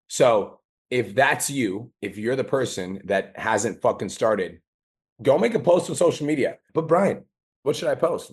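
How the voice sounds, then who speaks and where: A hoarse man with a raspy, lowish voice hosts his own podcast. He talks bluntly, swears constantly and mocks people's excuses in quoted voices, all in a profane motivational rant. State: hoarse from days of talking. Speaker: podcast host and business coach.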